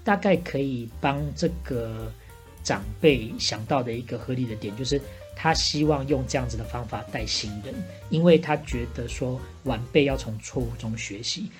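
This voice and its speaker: Woman, very deep voice, Taiwanese Mandarin accent, casual advice-giving, bantering, analytical, music behind. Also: darkish tone. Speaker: podcast host playing a bartender who gives advice.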